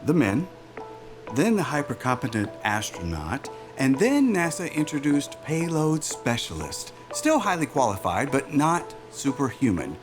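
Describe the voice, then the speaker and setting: Slick aerospace boss, salesy and sure of himself, really expressive pitch, audio drama, bit of music under. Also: male voice, a little slow. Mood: self-assured, explaining. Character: smooth-talking male aerospace executive selling a risky job, self-assured. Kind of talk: naturalistic audio drama dialogue.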